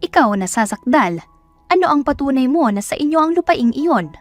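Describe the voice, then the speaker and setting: A woman plays an elderly judge in an audio drama, stern and dismissive. The voice is raised and highish, with an impatient, authoritative scolding manner. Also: very animated, normal speed, soft background music. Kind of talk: dramatic audio story acting.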